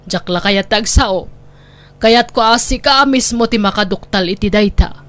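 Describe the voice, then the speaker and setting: A woman's voice: medium pitch, unhurried, fairly bright tone, close to an everyday voice. An actress with an Ilocano Filipino accent playing an observant, concerned older neighbour woman in an audio drama. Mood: tactful. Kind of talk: dramatic radio-style drama acting in Ilocano.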